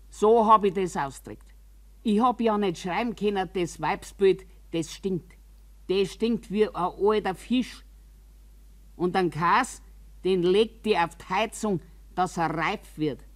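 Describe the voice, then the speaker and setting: A woman's voice: fairly low pitch, lightly acted. An older actress playing a grumbling, wary old woman from a care home in a radio monologue, with a Bavarian accent and a rambling, complaining, confiding way of talking. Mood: indignant, disgusted. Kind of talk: radio drama monologue in colloquial speech.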